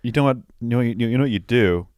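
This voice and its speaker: A man's voice: medium pitch, fast, a little mellow, clean tone, dry recording, studio quality. A man co-hosting a podcast, giving opinionated, mildly profane takes in casual movie-review chat. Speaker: podcast co-host.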